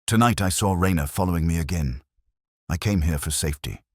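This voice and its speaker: A man's voice: low voice, fairly quick, dry recording, clean studio sound. A man voicing a frightened, earnest young clerk confessing nervously in an audiobook. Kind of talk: dramatic audiobook character reading.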